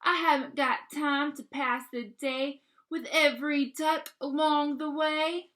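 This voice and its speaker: A woman voicing a big, self-important dump truck in a children's storybook, yelling pompously. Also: high-pitched, steady tone, measured pace. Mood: brash, impatient. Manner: loud honking yells, pompous. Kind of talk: storybook read-aloud for young children.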